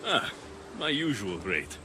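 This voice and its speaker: A man's voice: lowish voice, big pitch swings, slow pace, noisy background. An actor playing a battle-hardened Greek mercenary who is a doting, playful young father in a video game drama. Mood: tender, wry. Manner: playful, sing-song when talking to his baby.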